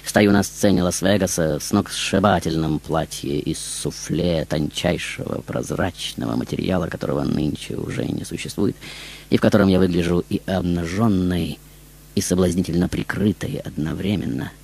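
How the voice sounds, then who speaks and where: A man's voice: deep voice, normal speed, gravelly, darkish tone. A man playing a seductive, self-admiring film diva, telling her story on a radio show in a theatrical, ironic, grandiose manner.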